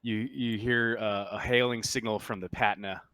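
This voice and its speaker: A man's voice: lowish voice, steady tone, normal speed, bright, forceful tone, clear, resonant tone, dry recording. A man narrating a tabletop roleplaying game as game master, in a casual, improvised way.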